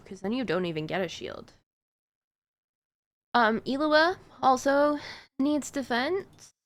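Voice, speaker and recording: A woman streaming a game and chatting in an easy, unhurried way, her voice gravelly with vocal fry. She swings up and down a lot in pitch as she talks, and she keeps answering chat with filler words and quick asides. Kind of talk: casual gaming stream chat.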